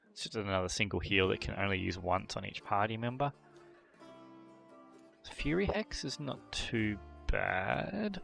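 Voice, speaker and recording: A man hosting a game video, thinking aloud through character choices with frequent fillers and throat clearing. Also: on the low side, a bit bright. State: voice a bit worn from a long previous session. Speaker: gameplay channel host. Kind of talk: casual gameplay commentary.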